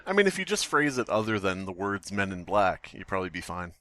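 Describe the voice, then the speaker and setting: A man's voice: low voice, very animated, fairly quick, fairly bright tone, dry recording. A man co-hosting a podcast, chatting casually and reading aloud with irreverent, sweary joking. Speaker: podcast co-host.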